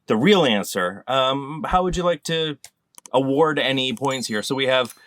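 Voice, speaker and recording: Bright-voiced man hosting a game. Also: mid-range voice, lively intonation. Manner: rambling, halting with many fillers. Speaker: game host. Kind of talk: casual group chat over a call.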